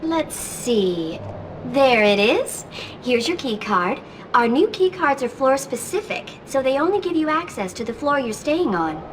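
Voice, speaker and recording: High-voiced, perky hotel receptionist. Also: female voice, very animated, measured pace, recorded from a distance, a little hiss or hum. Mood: cheery, polite. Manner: scripted service politeness, perky. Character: cheery, polite female hotel receptionist. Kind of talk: video game cutscene acting.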